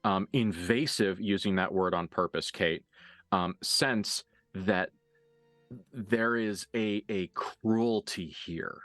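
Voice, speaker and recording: A man working as game master, improvising narration for a tabletop roleplaying game with deliberate, halting phrasing and dramatic emphasis. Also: on the low side, fairly bright tone, dry recording. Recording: dry voice over background music.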